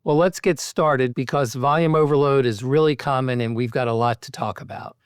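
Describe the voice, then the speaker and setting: A man speaking as a podcast host, asking structured medical questions in a measured, articulate way. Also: medium pitch, fairly even intonation, clean tone, no room sound, clean studio sound.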